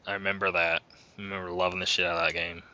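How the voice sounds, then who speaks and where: Twenty-something guy, gaming podcast host, rambly, somewhat low, very bright, dry lo-fi mic. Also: little pitch movement, very fast, no room sound. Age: 20s. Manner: loose, rambling, says "like" a lot. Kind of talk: casual chat.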